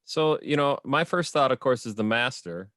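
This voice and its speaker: A man's voice: medium pitch, expressive, moderate pace, a bit bright, no echo, clean studio sound. A man on a discussion panel, chatting casually and laughing easily.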